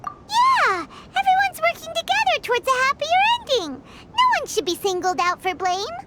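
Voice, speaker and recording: An actress voicing a tiny, eager fairy companion with a squeaky childlike voice in an anime game dub. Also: female voice, big pitch swings, normal speed, very noisy. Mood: eager, upset. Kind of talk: English-dubbed anime game voice acting.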